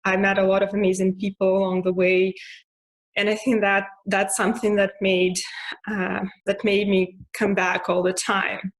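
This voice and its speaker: A young woman from Russia with a Russian accent, talking casually about her life, with frequent fillers, restarts and soft laughs. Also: medium pitch, completely flat delivery, moderate pace. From Russia (Moscow). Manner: hesitant, many fillers and restarts; laughs softly now and then. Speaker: interviewee. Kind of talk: casual personal monologue.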